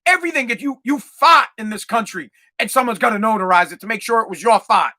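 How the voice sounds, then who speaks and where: A man's voice: high voice, fast talker, bright, forceful tone. Fired-up, swearing male podcast host. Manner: profane, fired-up, repetitive. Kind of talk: ranting monologue.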